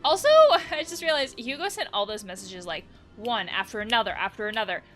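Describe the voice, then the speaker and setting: A woman's voice: mid-range voice, animated, brisk, a bit bright, faint music behind. A woman hosting a casual let's play, reading game text aloud and adding chatty asides as she reacts. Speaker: host of a video game let's play, therapist.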